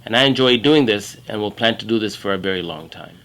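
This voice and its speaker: A man from Kenya, a middle-aged infectious disease doctor, giving a measured, earnest talk about his work. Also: on the low side, lively intonation, fairly bright tone. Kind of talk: prepared career talk to camera.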